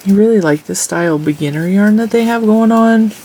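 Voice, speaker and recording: A woman with a stuffy, congested nose talks casually while she crochets and counts off her stitches and rows. Her voice has a gravelly fry, and a faint hiss of noise sits behind it. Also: medium pitch, a little fast, mellow. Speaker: crochet tutorial host. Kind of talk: casual crochet tutorial with chat.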